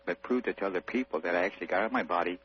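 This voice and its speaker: A man's voice: sits low, very fast. A man calling in to a radio show, telling a personal story in an earnest, rambling, casual way. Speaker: radio phone-in caller. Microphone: telephone. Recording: muffled phone line, faint hum and noise.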